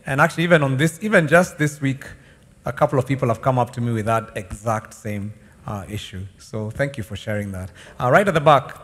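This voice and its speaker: A man's voice: medium pitch, a little fast. A man speaking as a pastor hosting a discussion, conversational and encouraging toward those who share. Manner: affirming, encouraging. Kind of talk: conversational preaching. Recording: reverberant hall PA sound.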